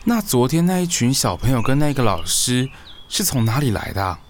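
A man's voice: mid-range voice, big pitch swings, brisk, a little hiss or hum. An actor with a Taiwanese Mandarin accent playing an exasperated young man who mutters and grumbles in colloquial speech in an audio drama. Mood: puzzled, muttering. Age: young adult. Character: exasperated, puzzled young man living alone. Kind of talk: podcast audio drama, talking to himself.

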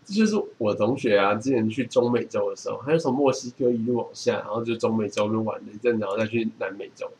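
A man's voice: fairly low pitch, fast talker, few pauses, a little mellow. Casual man, slight background noise. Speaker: podcast co-host. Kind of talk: casual chat.

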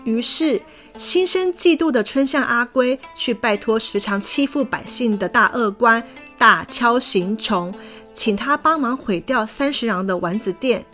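A woman narrating a children's fairy tale in a lively, expressive way. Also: on the high side, unhurried. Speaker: storyteller. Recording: background music.

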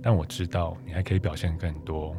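A man's voice: sits low, level tone, a little fast, very noisy. A man reading scripted first-person narration for an audio drama. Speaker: photographer narrating his own book. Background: music.

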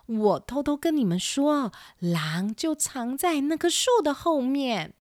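A woman's voice: high voice, lively intonation, slow pace, no echo, studio quality, voice pushed up. A woman voicing an anxious, motherly sheep in a children's audio story, exclaiming and confiding.

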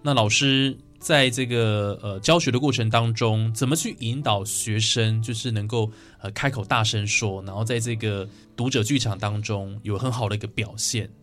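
A man's voice: lowish voice, normal speed, hardly any pauses. A man with a Taiwanese Mandarin accent hosting a conversational interview, asking questions and summing up answers. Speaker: radio show host. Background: soft guitar music.

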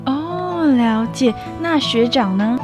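High-voiced young woman hosting student radio. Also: lively intonation, takes it slowly. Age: young adult. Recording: music bed behind the voice. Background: background music.

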